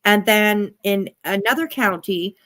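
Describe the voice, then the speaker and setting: A woman hosting a casual online stream, chatty and quick to repeat words of agreement. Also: mid-range voice, a bit bright. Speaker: stream host.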